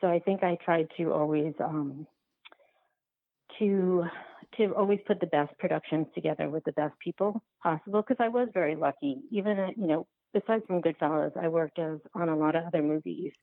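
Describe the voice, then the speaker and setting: Woman, thin telephone-quality audio, gravelly fry, halting reflective interview answers, thinking aloud. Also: low voice, no echo. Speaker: guest, documentary filmmaker. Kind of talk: reflective podcast interview conversation. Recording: thin, phone-like line.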